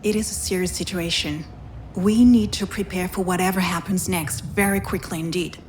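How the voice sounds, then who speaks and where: An actress playing a serious, formal woman doctor in a visual novel game, speaking in a measured, grave manner. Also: on the low side, fairly even intonation, darkish tone, a little hiss or hum, natural voice.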